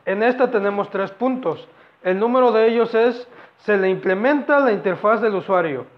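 High-pitched young man presenting. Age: young adult. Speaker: student presenter. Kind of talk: class presentation explaining project phases. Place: indoors against a plain wall. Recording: dull lo-fi camera audio.

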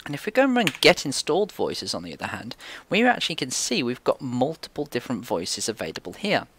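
A man's voice: medium pitch, wildly swooping pitch, quick pace, a bit bright, slight background noise. A man presenting a casual screencast coding tutorial, informally thinking aloud as he works.

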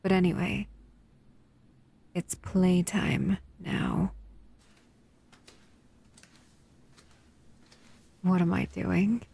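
A woman's voice: lowish voice, fairly even intonation, slow pace, vocal fry, mellow, breathy edge, heavily acted. A woman voicing a dangerous, bored humanoid monster in an audio roleplay, speaking to the listener in a languid, teasing, menacing way. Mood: playful menace.

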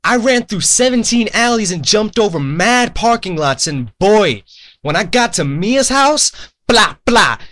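A man's voice: high voice, expressive, unhurried, fairly bright tone. A man voicing a cocky, smug young water mage in a comic game parody dub, with a sarcastic, swaggering delivery. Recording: music under the voice.

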